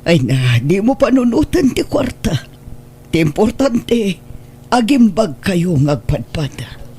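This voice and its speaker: A man's voice: high-pitched, sing-song, slow pace, dark tone, husky, heavily acted. A man playing an anxious elderly parent fretting over a sick grown child, in a radio drama. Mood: consoling, worried. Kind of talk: Ilocano radio drama acting.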